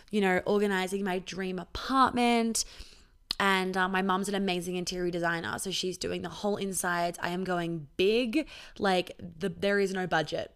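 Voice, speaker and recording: A woman's voice: mid-range voice, clear, resonant tone. Chatty, foul-mouthed young woman. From born in Australia, raised in New York, Sydney and Melbourne. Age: young adult. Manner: chatty and candid, swears freely, lots of "like" fillers. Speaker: podcast host and intimacy coach. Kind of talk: casual solo podcast monologue.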